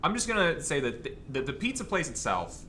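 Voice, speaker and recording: A man chatting casually and telling a rambling anecdote in a halting way, often cutting himself off. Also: fairly high pitch, big pitch swings, pushed and bright, a little hiss or hum. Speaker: panelist on a fan Q&A show.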